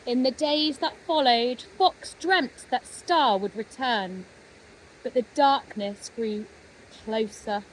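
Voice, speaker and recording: A woman narrating a children's story expressively, with gentle dramatic pauses. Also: a little high, a little hiss or hum, low-quality recording. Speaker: storybook reader.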